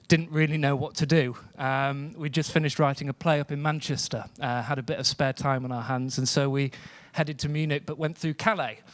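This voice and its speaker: British man, panel guest, slightly high voice, hesitant, reflective, halting, dry microphone sound. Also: no room sound. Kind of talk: answering in a panel discussion. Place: theatre stage, live event. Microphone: stage microphone.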